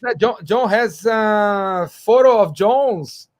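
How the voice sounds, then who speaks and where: Slow-speaking man, halting English. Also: high-pitched, expressive. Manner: halting, repeats words, self-correcting. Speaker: co-host and English learner. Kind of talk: casual livestream chat.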